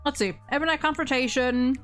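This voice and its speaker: A woman's voice: high voice, fairly quick, a bit bright, soft background music. A woman chatting casually as host about a video game, emphatic and opinionated, swearing freely. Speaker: host of a game story ranking show.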